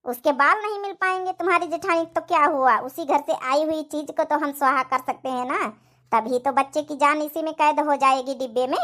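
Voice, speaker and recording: A woman's voice: sits high, brisk, a bit bright. A woman voicing a stern, greedy female guru and sorceress, imperious and incantatory, in a village comedy cartoon. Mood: commanding, scheming.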